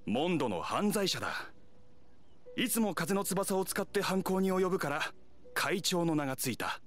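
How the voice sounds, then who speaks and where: A man's voice: high-pitched, lively intonation, moderate pace, a bit bright. A man playing a dutiful, officious young town knight in an anime game, speaking formally and earnestly. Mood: explaining. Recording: game music behind the voice.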